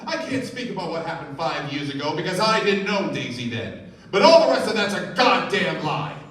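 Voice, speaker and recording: A man's voice: fairly high pitch, big pitch swings, moderate pace, fairly bright tone. Man acting a blustering rich husband, echoey stage. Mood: angry, insistent. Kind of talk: live radio play acting. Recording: echoey stage, distant microphone.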